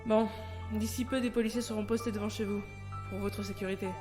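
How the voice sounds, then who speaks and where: A woman's voice: medium pitch, little pitch movement, a little fast, close to an everyday voice. A woman as a businesslike officer, music behind. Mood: formal, puzzled. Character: businesslike, composed female police officer. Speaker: voice actor. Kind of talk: audio drama acting.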